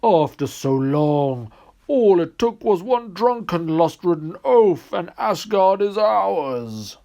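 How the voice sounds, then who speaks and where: A huge, gloating frost giant. He is loud and forceful, stretching his words out slowly. The pitch climbs in villainous triumph, as in a storybook. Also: expressive, vocal fry, exaggerated voice. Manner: slow, drawn-out gloating.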